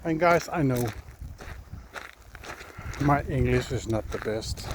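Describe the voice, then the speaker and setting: A Danish man speaking English in a slow, unhurried way, pausing often to find his words as he thinks aloud. He is recorded outdoors on a handheld phone along a forest trail, with clear background noise under his voice. His pitch sits in an ordinary middle range. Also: animated. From Denmark. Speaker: vlogger. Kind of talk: casual walking vlog.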